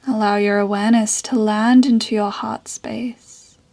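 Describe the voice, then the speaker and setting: Woman, guided meditation, slow pace, gentle breathy delivery, level intonation, soothing. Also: mid-range voice, steady tone. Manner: soft, breathy, soothing delivery with long pauses. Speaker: yoga and meditation teacher.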